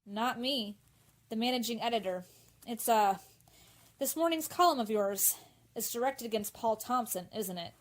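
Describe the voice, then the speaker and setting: A woman's voice: fairly high pitch, lively intonation, moderate pace, natural voice. A woman acting in a radio drama as a hesitant newspaper office assistant to the editor. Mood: uneasy.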